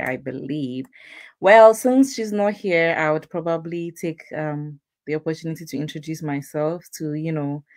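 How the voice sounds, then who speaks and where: A middle-aged woman from Ghana, hosting a casual live chat, chatty and quick to laugh. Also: sits low, darkish tone, dry recording. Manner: chatty, laughs easily, repeats phrases. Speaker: host.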